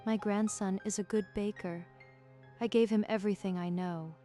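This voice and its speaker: A woman's voice: mid-range voice, steady tone, moderate pace, mellow, lightly acted. A woman playing a proud, dignified elderly matriarch in a manga dub, plain and assured, with music behind her. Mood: proud, calm. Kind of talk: anime-style manga dub acting.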